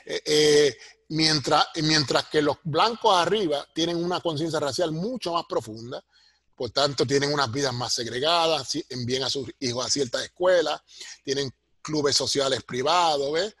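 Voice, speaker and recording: A middle-aged or older man with a Puerto Rican Spanish accent and a husky voice, explaining things like a professor in an interview and punctuating his points with tag questions. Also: on the high side, moderate pace, fairly bright tone, no room sound, clean studio sound. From Puerto Rico. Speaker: sociology professor, interview guest.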